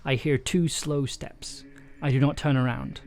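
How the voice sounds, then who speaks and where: A man's voice: medium pitch, fairly even intonation, a little slow, a little hiss or hum. A man livestreaming in a casual, hesitant way, thinking aloud and reading from his own story draft. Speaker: streamer and audio drama writer. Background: chill soundtrack music.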